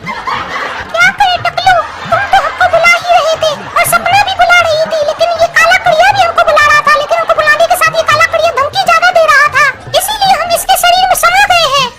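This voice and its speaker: Piercingly high, bossy ghost wife. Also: female voice, fairly even intonation, moderate pace. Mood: affectionate, complaining. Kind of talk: cartoon skit dialogue. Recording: music clearly behind the voice.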